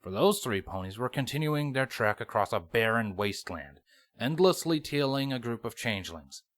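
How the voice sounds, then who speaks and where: A man's voice: medium pitch, lively intonation, normal speed, fairly bright tone. A man reading a story aloud as narrator, in a dramatic scripted style. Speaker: fan fiction narrator.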